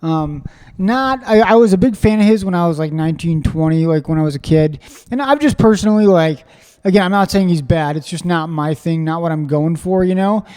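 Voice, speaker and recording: A high-pitched man with a mellow, dark tone hosts a casual podcast chat. He rambles excitedly, piling up fillers and restarting sentences. His voice rings with a long reverb. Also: a little fast, smooth. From Springfield, Missouri, USA. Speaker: podcast host. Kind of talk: casual conversational interview.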